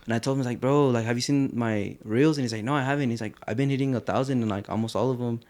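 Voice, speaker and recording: Slangy joking young co-host. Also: male voice, mid-range voice, rapid-fire, a little mellow. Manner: joking, slangy, drops Spanish phrases. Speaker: podcast co-host. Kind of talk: casual chat.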